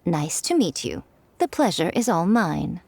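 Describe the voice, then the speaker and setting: A woman voicing a sly, playful adult editor who teases others in a smooth, amused manner in a video game. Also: fairly low pitch, sing-song, measured pace, darkish tone, slight background noise, full character voice. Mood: teasing. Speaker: light novel editor.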